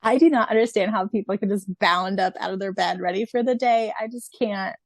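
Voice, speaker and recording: Podcast gal chatting casually, thinking out loud, medium pitch, really dry no-echo sound. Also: female voice, fairly quick. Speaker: podcast co-host. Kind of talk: casual chat.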